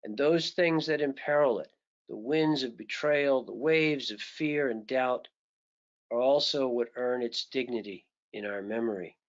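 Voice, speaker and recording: A man voicing a gentle, patient male teacher who speaks softly, low and slowly. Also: natural voice. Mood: earnest. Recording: dull lo-fi recording.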